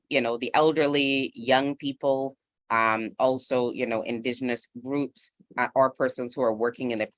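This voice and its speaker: A man's voice: mid-range voice, a bit bright, no room sound. A man speaking off the cuff on a panel, conversational and thoughtful, often dropping in "you know". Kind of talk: unscripted panel discussion.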